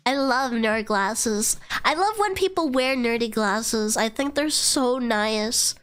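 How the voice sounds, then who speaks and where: High, nasal young woman chatting on stream. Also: animated, slow pace, fairly bright tone, clean tone, soft background music. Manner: chatty, swears freely, trails into fillers. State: congested from a cold. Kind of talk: casual rambling stream chat.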